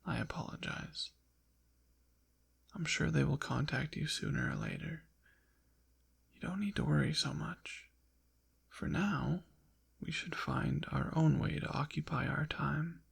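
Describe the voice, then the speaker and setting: A man voicing a haughty, possessive ancient demon in an intimate ASMR roleplay, with formal, deliberate diction and a breathy, gravelly whisper. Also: fairly high pitch, sing-song, full character voice. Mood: apologetic, soothing. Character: possessive ancient male demon, haughty, teasing, quietly softening. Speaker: audio roleplay performer.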